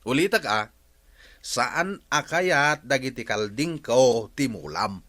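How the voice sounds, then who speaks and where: Evasive stubborn villager, comic, very varied pitch, mid-range voice, Ilocano radio drama. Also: male voice, animated, takes it slowly, sharp tone.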